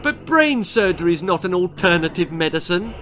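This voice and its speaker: A man voicing a whiny, frightened young schoolgirl in a storybook, squeaky and pleading. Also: big pitch swings, moderate pace, fairly bright tone. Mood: alarmed. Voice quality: shrill, squeaky. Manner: wailing, pleading.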